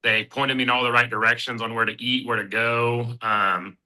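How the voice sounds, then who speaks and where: Bright-voiced man in his thirties, rambling. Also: medium pitch, moderate pace, rough recording. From Kansas City. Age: 30s. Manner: relaxed, rambling, many fillers and restarts. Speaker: guest, bare-knuckle fighter. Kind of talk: casual interview chat.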